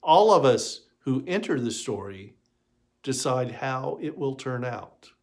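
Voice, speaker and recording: Man playing a gentle, unhurried church minister. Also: medium pitch, very animated. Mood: gentle, earnest. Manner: gentle, questioning.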